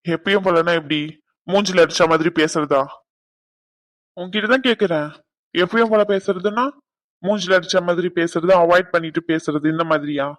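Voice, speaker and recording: A woman voicing a grandmother who gently coaxes and questions her granddaughter. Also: lowish voice, moderate pace, pauses often, voice pushed down, close to an everyday voice. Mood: reproachful. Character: soft probing grandmother.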